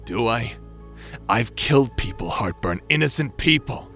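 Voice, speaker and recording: A man's voice: medium pitch, lively intonation, moderate pace, sharp tone, faint music behind. A man playing a remorseful ex-pilot turned space pirate in an audio drama, speaking in a hesitant, wry, subdued way. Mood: anguished.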